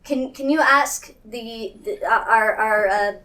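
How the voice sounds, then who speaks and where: A woman player casually improvising in a tabletop roleplaying game, hesitant and halting. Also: a little high, sharp tone, distant mic.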